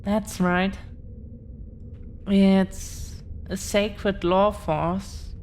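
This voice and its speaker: A woman playing an affectionate, proud dragon-blooded girlfriend in an intimate audio roleplay, talking in a teasing way with long pauses. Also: on the low side, darkish tone. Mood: coy, confiding. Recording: clear background noise.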